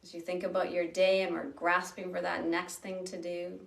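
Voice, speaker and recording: A woman with a fairly low voice leads a guided breathing practice. She talks slowly and gently, leaving long pauses between phrases. She is recorded from a little away from the mic, in a room that echoes. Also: level tone, creaky, distant mic. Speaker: meditation teacher. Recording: roomy sound from off the mic.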